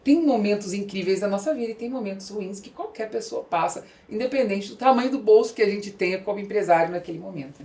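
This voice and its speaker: Brazilian woman podcast host, medium pitch. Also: quick pace, few pauses, warm tone. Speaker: podcast host, business growth specialist. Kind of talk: conversational talk, explaining her views.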